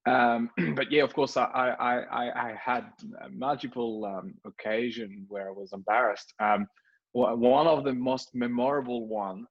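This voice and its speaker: A man's voice: medium pitch, fairly even intonation, measured pace, husky. French-accented man, hesitant, lo-fi audio. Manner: hesitant, many fillers and restarts. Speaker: guest entrepreneur. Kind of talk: casual video call interview.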